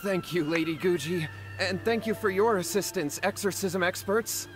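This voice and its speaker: A man's voice: high-pitched, normal speed, music in the background, natural voice. A man voicing a worried, earnest young brother in a video game who apologizes and gives thanks.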